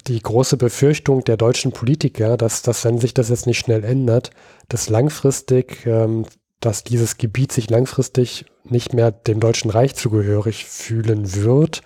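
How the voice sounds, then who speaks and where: Podcast co-host from Berlin: relaxed, warm voice, hesitant and talky, recorded dry and close. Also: male voice, fairly low pitch, no echo. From Berlin, Germany. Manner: explains at length, hesitant with many fillers. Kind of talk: casual history podcast chat.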